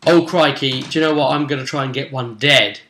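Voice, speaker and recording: A man speaking casually as a game master, recapping a tabletop role-play session with animated, dramatic emphasis. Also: mid-range voice, fairly quick. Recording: roomy, echoey sound.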